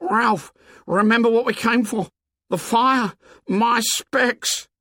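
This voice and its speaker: A man's voice: very high-pitched, slow, sharp tone, dry recording, clean studio sound, voice pushed up, heavily acted. A man voicing a frightened, pleading young boy in an audiobook, wailing and crying out shrilly. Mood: desperate, pleading. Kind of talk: audiobook character acting.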